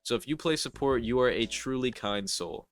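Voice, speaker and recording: A man's voice: medium pitch, moderate pace, faint music behind. A man with a congested, stuffy voice doing a scripted, sarcastic voice-over roast as a gaming YouTuber. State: congested, nasal from allergies.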